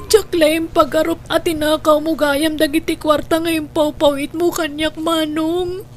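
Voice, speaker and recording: A woman's voice: high voice, little pitch movement, unhurried, faint music behind. A woman acting a young student in an Ilocano radio drama, a devoted younger sister who pleads earnestly and tearfully. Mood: tearful, reproachful.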